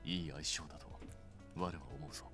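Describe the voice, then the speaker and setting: Deep, stately headless knight. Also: male voice, level tone, very fast, pushed and bright, dry recording, heavily acted. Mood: calm, thoughtful. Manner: formal, archaic, grave. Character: stately, kindly headless male knight-like being. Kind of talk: Japanese game voice acting. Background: game music.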